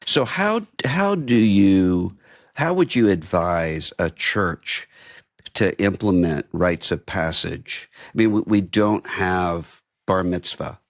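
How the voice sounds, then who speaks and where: A man interviewing in a thoughtful, conversational way, building his questions haltingly. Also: low voice, expressive, no echo, studio quality.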